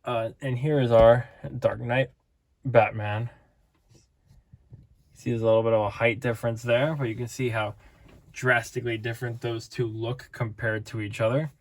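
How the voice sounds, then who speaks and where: A man's voice: medium pitch, little pitch movement, moderate pace. Rambling tired man reviewing toys. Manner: rambling, playful, self-interrupting. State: tired, voice fading. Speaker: toy collector reviewer. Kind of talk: casual toy review.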